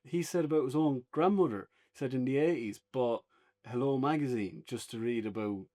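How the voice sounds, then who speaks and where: An Irish man talks off the cuff as a podcast co-host. His pitch sits in the middle of the male range, and his style is that of casual conversation, sharing opinions in an unscripted review. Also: fairly quick, darkish tone. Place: indoors on a couch, podcast setup.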